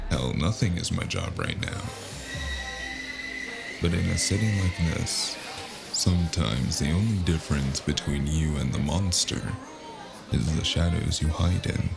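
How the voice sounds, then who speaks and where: Man playing a world-weary hard-drinking detective, very low voice, slow brooding delivery, cynical, noir audio drama, music underneath. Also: exaggerated voice. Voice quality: gravelly. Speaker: audio drama narrator and lead actor. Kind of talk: noir detective monologue, scripted narration.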